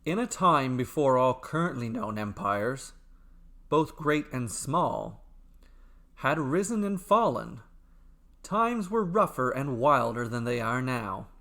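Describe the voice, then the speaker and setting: A man tells a scripted myth in a dramatic storytelling style. His phrasing is slow, measured and deliberate, and his pitch is lively and varied.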